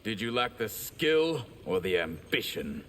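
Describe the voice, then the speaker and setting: A man's voice: lowish voice, sing-song, measured pace. A man voicing a cold, condescending mage in a video game, pompous and disdainful. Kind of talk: video game character acting. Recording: distant, long reverb, loud noise.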